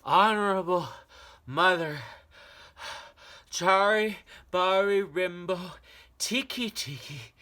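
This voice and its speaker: A reader doing a breathless little boy. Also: pushed and bright. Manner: high, strained, drawn-out chanting of a long name. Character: small breathless boy, earnest and polite. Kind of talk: storybook reading.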